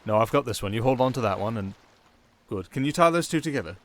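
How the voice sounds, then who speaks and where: A man with a British accent playing a sardonic, softly dangerous male siren in an ASMR roleplay, with dry, theatrical sarcasm. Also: medium pitch, lively intonation, fast talker, a little hiss or hum, close to an everyday voice. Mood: wry, resigned.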